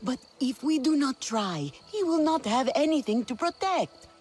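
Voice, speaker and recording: A woman's voice: sits high, lively intonation, moderate pace, darkish tone. An actress playing a determined female alien clan leader in a video game cutscene, speaking in a resolute, encouraging way.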